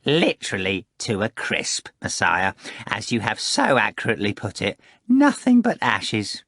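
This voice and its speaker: A man's voice: on the low side, wildly swooping pitch, a little slow, fairly bright tone, breathy edge, no echo, clean studio sound, heavily acted. A man voicing a sly, flustered male sidekick who tells things dramatically and fawningly. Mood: dramatic.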